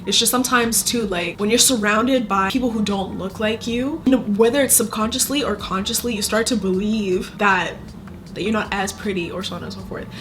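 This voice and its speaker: A woman's voice: mid-range voice, brisk, noisy background. Chatty young woman giving advice. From Zimbabwe. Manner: chatty, emphatic, uses lots of fillers. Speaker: content creator. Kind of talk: casual advice vlog. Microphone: phone camera.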